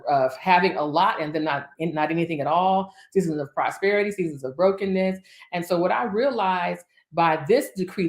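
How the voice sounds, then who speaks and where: A woman in her fifties, a minister, preaching a decree to online viewers in rhythmic repeated lists with chatty asides. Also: lowish voice. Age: 50s. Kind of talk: livestream prophetic decree. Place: office. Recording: echoey room.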